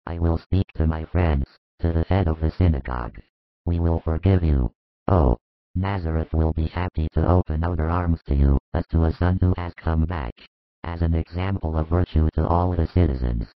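A man's voice: a bass voice, normal speed, no echo, voice pushed down. A man voicing a stern, proud older male relative who lectures in a pompous, admonishing way. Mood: lecturing. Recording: dull lo-fi recording.

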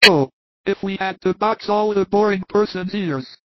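A man voicing a very calm, generous, easygoing male disciple. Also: sits high, moderate pace, no room sound, highs cut off, faint music behind, voice pushed up, lightly acted. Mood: calm, kindly.

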